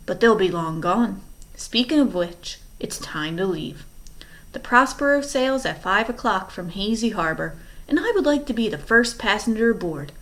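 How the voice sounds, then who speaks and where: A woman's voice: medium pitch, animated, normal speed, fairly bright tone. A woman reading aloud and voicing a scheming, menacing male villain who mocks the children. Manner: mocking, menacing.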